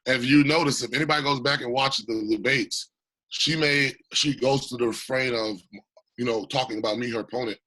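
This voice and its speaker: Raspy-voiced man, passionate candidate. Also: mid-range voice, fairly even intonation, brisk, bright, forceful tone, low-quality recording. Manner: passionate, emphatic. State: recovering from illness. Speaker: congressional candidate, guest. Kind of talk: remote interview.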